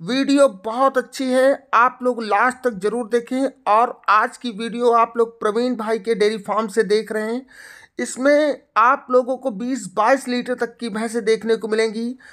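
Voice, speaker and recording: A woman hosting a livestock video, narrating off camera through a buffalo shed in an upbeat sales pitch aimed at farmers. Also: fairly even intonation, moderate pace, a bit bright. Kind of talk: off-camera farm tour narration.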